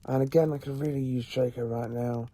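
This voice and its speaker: Man playing a mellow, analytical professor. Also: mid-range voice, steady tone, close to an everyday voice. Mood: thoughtful, grave. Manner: measured, deductive, musing aloud. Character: calm, analytical male professor and protector battling the supernatural. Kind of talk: audio drama acting.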